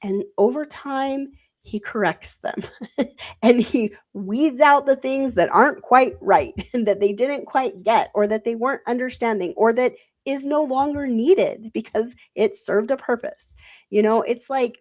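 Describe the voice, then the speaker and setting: A woman's voice: on the high side, measured pace, a little mellow. Enthusiastic woman with a sore throat. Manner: enthusiastic, rambling with many "like" fillers. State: sick, losing her voice. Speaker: co-host. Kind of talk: casual religious discussion.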